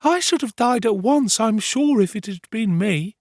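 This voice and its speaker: A man's voice: sits high, animated, moderate pace, no room sound, studio quality, pitched above the performer's own voice. A man voicing a timid, easily shocked female servant in a dramatised storybook reading, exclaiming breathlessly. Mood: fearful.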